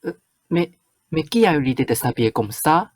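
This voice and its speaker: A woman's voice: deep voice, sing-song, normal speed, darkish tone. A woman acting a flustered, bossy fairy-tale parent in a radio play, with hesitant, stammering starts.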